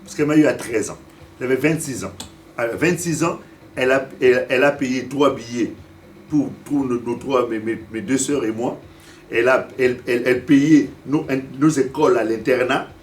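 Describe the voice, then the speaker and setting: Halting African-born pastor preaching from afar. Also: male voice, medium pitch, slight background noise. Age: 50s. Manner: halting, repeats words while searching for them; asks the congregation direct questions. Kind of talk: sermon. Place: church service. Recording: distant mic with faint room ambience.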